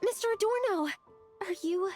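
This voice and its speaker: A woman's voice: extremely high pitch, moderate pace, a little mellow, soft background music. An actress playing an attentive young female researcher in a video game, careful and concerned, with a precise, earnest delivery.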